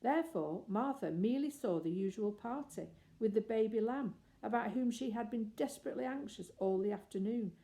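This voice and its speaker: A woman's voice: a little high, fairly quick, few pauses, dark tone. A woman narrating a children's story expressively, as an audiobook reader.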